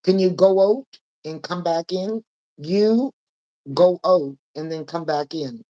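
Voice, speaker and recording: A woman with a raspy voice, hosting a casual live-stream chat and laughing often. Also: on the low side, slow pace, mellow, dull audio. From Nova Scotia. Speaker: host. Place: home room with fake northern lights projector.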